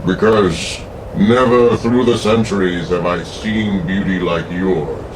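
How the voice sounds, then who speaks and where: Evil ancient ghost villain, low voice, theatrical, far from mic w lots of noise. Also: male voice. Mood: grandiose wooing. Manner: slow, grandiose, theatrical. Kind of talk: pulp radio melodrama acting. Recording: distant mic with loud humming noise.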